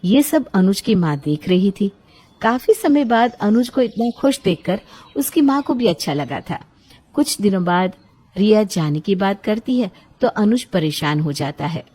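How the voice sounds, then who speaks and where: A woman's voice: medium pitch, lively intonation, measured pace, long pauses, dark tone, breathy edge. A woman storyteller reading a scripted bedtime story as its narrator.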